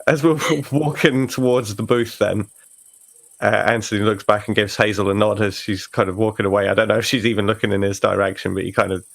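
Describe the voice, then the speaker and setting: A man's voice: lowish voice, fairly even intonation, brisk, creaky. A man playing a tabletop game, narrating his character in a loose, conversational way with trailing phrases. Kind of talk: casual in-character narration and chat.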